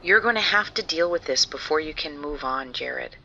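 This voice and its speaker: A woman's voice: deep voice, animated, normal speed, gravelly, pushed and bright, dull audio, exaggerated voice. An actress playing a worried wife who pleads earnestly with her husband in an audio drama. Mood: pleading. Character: Maria, Jared's worried estranged wife.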